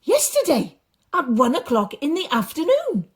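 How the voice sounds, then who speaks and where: A woman plays a loyal servant in a storybook, announcing joyful news. The delivery is high, eager and emphatic, with lively swoops. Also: moderate pace. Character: male servants bringing glad news in a children's Bible story.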